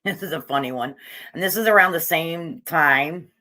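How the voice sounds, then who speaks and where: A woman's voice: deep voice, moderate pace, pushed and bright. A woman giving casual, opinionated commentary on video clips, with frequent hesitations and fillers. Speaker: commentary YouTuber.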